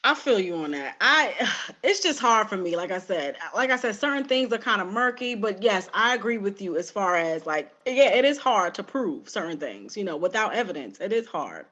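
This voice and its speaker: A woman guest on a talk show, speaking in a hesitant, thoughtful and halting way. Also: mid-range voice, animated, bright, forceful tone. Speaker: guest commentator. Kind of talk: casual conversation.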